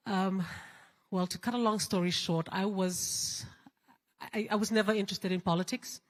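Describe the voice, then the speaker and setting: A woman's voice: medium pitch, steady tone, moderate pace. A woman politician from Fiji, speaking on a conference panel and telling her story candidly with hesitant fillers. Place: large conference hall. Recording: long hall reverb.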